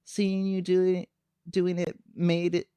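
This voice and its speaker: A young man talks calmly to his stream audience, pausing often with fillers and restarts. His voice sits a little high for a man and sounds smooth and clean, recorded close with a dry, dead sound. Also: no room sound. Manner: calm, soft-spoken, hesitant with many fillers. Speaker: VTuber streamer. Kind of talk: casual livestream chat.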